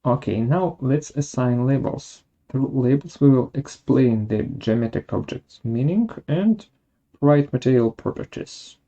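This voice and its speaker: Software tutorial presenter, unhurried and halting, gravelly mid-pitched voice with a dark tone. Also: muffled recording. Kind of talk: step-by-step software tutorial narration.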